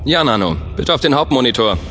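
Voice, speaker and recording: A man playing a calm, capable spaceship captain in an audio drama, who speaks in a relaxed, matter-of-fact way. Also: medium pitch, fast, slight background noise, close to an everyday voice. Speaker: spaceship pilot and first-person narrator. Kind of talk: audio drama acting and narration. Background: music.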